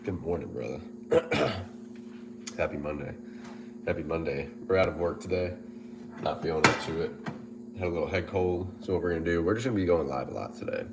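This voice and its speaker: Man, very low voice, nasal and stuffy from a cold, relaxed chatty livestream, noisy background. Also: a little fast, gravelly, pauses often. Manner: relaxed, chatty, repeats himself, reads out viewer comments. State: congested from a head cold. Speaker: personal trainer and gym owner. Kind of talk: casual livestream chat.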